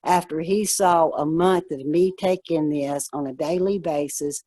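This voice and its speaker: A woman chatting casually, telling a story in a quick, chatty way. Her voice sits low and sounds dark and mellow, recorded close and dry. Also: steady tone, dry recording. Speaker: guest in a wellness group chat. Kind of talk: casual chat.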